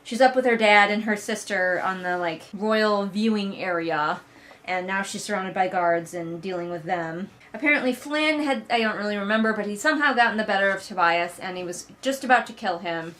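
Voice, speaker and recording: A woman's voice: mid-range voice, normal speed, a bit bright. A woman hosting a read-along, casually recapping and critiquing a story with sarcastic, exasperated commentary and casual swearing. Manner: acts out mocking character quotes. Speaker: host of a book read-along.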